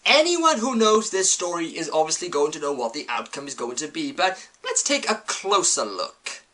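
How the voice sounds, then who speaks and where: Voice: mid-range voice, big pitch swings, moderate pace, pushed and bright. A reviewer giving a scripted, opinionated commentary with emphatic delivery. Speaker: host, reviewer.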